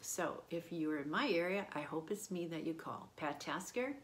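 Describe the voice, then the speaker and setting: A woman in her fifties speaks straight to the camera from a room at home, her voice sitting a little low. She works through her points in order with steady confidence, like an agent pitching her services. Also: normal speed, slight background noise. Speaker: real estate agent. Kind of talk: promotional talk to camera. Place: indoor home room by a window.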